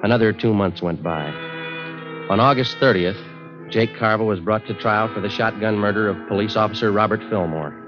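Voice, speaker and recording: Deadpan low-voiced actor as a police detective. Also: male voice, close to an everyday voice. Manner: deadpan, matter-of-fact, terse. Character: laconic, unflappable male police detective sergeant, narrating his case. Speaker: lead actor and narrator. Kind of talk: 1950s radio drama, clipped procedural narration. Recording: dull vintage radio recording with music.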